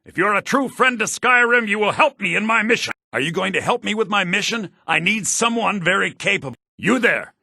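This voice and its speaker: An actor voicing shrewd, wry men of a fantasy video game, such as merchants and mages, in a sly, dry, theatrically expressive manner. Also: male voice, fairly quick, gravelly, few pauses, breathy edge, no room sound, heavily acted. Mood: brisk, pushy. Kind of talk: scripted video game character lines. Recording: low-bitrate game audio rip.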